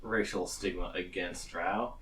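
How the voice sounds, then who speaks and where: A man's voice: low voice, steady tone, a little slow, a bit bright. A man narrating a tabletop roleplaying game as game master, bantering and joking as he goes, recorded at a distance. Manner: jokey, often breaking off into laughter.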